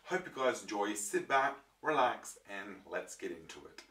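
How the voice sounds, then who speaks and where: A young man with a croaky voice from a throat infection, chatting casually to his viewers with lots of ums and restarts. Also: lowish voice, expressive, normal speed. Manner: rambling, many ums and restarts. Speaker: vlogger showing his disc collection. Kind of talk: casual chat to camera. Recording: roomy, mic far off, faint noise.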